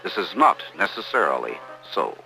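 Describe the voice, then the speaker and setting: A man's voice: low voice, very animated, moderate pace, vocal fry, music in the background, rough recording. A man reading scripted documentary narration on an old educational film.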